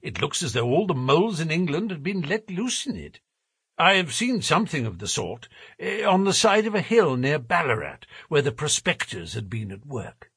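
A man voicing a calm, gentle young woman who speaks lightly and quietly. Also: fairly high pitch, moderate pace, creaky, husky, no echo, clean studio sound, exaggerated voice. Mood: thoughtful.

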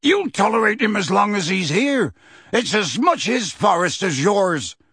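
A man voicing a gruff, defiant but big-hearted half-giant gamekeeper in an audiobook, with a gravelly, husky voice and blunt rustic speech. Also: medium pitch, expressive, unhurried, fairly bright tone, dry recording, clean studio sound, exaggerated voice. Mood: angry, defiant.

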